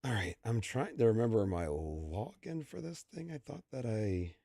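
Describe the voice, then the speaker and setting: Deep-voiced young man streaming, fading voice. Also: very animated, normal speed, vocal fry, warm tone, dry recording, clean studio sound. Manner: rambling, stammering with many fillers. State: losing his voice, clearing his throat. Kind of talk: casual live-stream chat.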